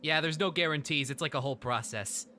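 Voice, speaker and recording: An actor playing a cocky, sarcastic young cartoon hero in a playful improvised comedy dub, sometimes breaking into song. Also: male voice, fairly high pitch, fast, pushed and bright, a little hiss or hum. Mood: snarky. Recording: music behind the voice.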